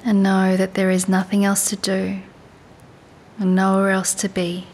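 A woman leads a guided body scan meditation in a calm, soothing, unhurried way, leaving long pauses. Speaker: meditation and yoga teacher. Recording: faint low rumbling background noise.

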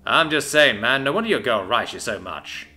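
A man's voice: medium pitch, expressive, moderate pace, pushed and bright, faint music behind. A man voicing a cocky young male recruit who jokes around with loose, joshing banter. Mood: teasing.

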